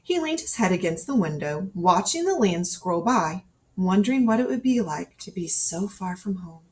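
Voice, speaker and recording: A woman reading a children's picture book aloud in an expressive storytelling style, acting out the dialogue as she goes. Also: on the low side, moderate pace. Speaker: storybook reader. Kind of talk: scripted children's storybook narration read aloud.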